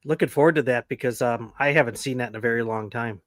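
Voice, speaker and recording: A man's voice: mid-range voice, fast, no room sound, clean studio sound. A man co-hosting a casual horror podcast, chatty and easygoing, a bit sniffly from allergies. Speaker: podcast co-host.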